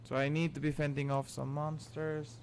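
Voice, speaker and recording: A man's voice: a little high, flat delivery, moderate pace, slight background noise. A man giving casual, off-the-cuff commentary as he streams a video game. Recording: loud game music behind the voice. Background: game music and effects.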